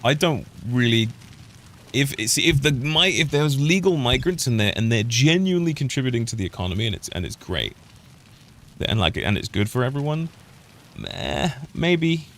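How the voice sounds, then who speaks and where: A man's voice: mid-range voice, sing-song, moderate pace, fairly bright tone, a little hiss or hum. A British man streaming a game, rambling opinionated commentary that keeps trailing off and restarting. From United Kingdom. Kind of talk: casual gameplay commentary.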